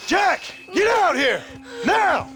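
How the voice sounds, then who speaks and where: An actor playing a gruff, sardonic Old West gunslinger with an American Western accent and a dry drawl, in a video game cutscene. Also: male voice, extremely high pitch, sing-song, slow, music in the background, full character voice. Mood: angry, shouting. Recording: noisy ambient background sound.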